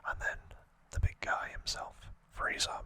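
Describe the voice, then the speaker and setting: Hushed ASMR whisperer. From United Kingdom. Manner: hushed, unhurried, informal. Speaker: ASMR video host. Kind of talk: whispered ASMR, relaxed show-and-tell commentary.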